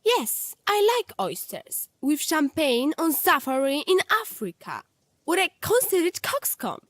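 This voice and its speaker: A woman's voice: sits high, sing-song, unhurried, fairly bright tone, no echo, studio quality, full character voice. A woman voicing an indignant, sarcastic fifteen-year-old girl in an audio drama. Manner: sarcastic, exclamatory.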